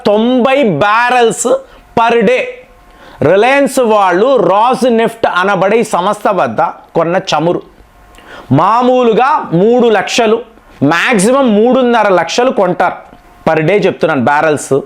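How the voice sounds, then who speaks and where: A man's voice: high-pitched, measured pace. A man hosting explanatory political commentary, speaking emphatically to viewers with rhetorical questions and repetition. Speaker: political news commentator and channel host.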